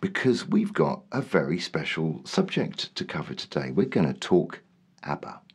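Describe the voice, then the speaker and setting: A man hosting his podcast in a rambling, chatty way, his voice throaty and slightly raspy today. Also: a bass voice, sing-song, normal speed, very noisy. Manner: rambling, lots of ums and restarts. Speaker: podcast host. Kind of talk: casual solo podcast monologue.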